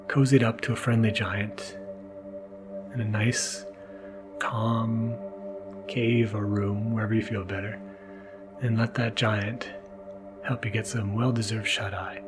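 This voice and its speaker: Low-voiced man over soft music. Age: adult, new father. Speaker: podcast host. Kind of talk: casual, intimate monologue to listeners. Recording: mellow music underneath. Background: soft mellow music.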